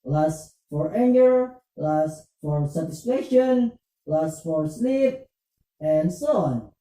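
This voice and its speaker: A performer acts out an earnest young student puppet, hesitant but eager to learn. The delivery is slow and halting, with a very dark tone, heard from a distance in an echoey room. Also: mid-range voice, expressive. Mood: earnest, reflective. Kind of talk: scripted puppet show dialogue.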